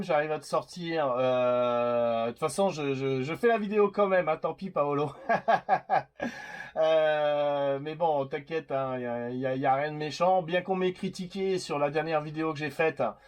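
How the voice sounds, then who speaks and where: A man with a slightly high voice talks casually over a radio set as an amateur operator. He rambles and hesitates, feeling his way through his sentences in an informal exchange. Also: moderate pace. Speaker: CB radio operator making videos.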